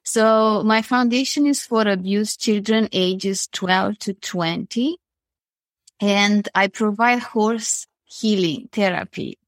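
A woman's voice: mid-range voice, slow pace, dry recording. A woman from Romania with a Romanian accent, speaking earnestly as a panel guest about her foundation. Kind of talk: panel discussion on a call.

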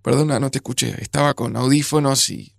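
An actor playing a flustered, grieving widower in an audio drama, gravelly and hesitant, often repeating himself. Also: male voice, medium pitch, a little fast, dry recording, clean studio sound. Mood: subdued, hesitant. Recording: music under the voice.

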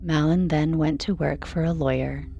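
Low, mellow woman narrating a sleep story. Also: steady tone, moderate pace, gravelly, highs cut off, faint music behind. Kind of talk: slow, soothing scripted bedtime narration.

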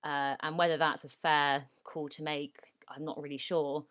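A young woman co-hosting a casual interview, chatty and a little hesitant, restarting sentences and breaking into excited bursts. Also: deep voice, normal speed. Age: young adult. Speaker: podcast co-host.

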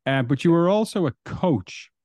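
A man's voice: a little high, expressive, a little mellow, no room sound. A male podcast host in a dry, close recording, asking his guest questions. He starts over partway through and pieces his questions together as he goes. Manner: asks questions in halting, restarted phrases. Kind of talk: interview questions.

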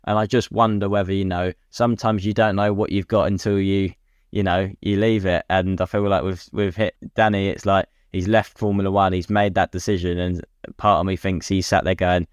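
A man's voice: low voice, flat delivery, normal speed, clear, resonant tone, dry recording, clean studio sound. A man co-hosting a podcast, chatting casually and matily about sport and offering his opinions. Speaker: podcast co-host.

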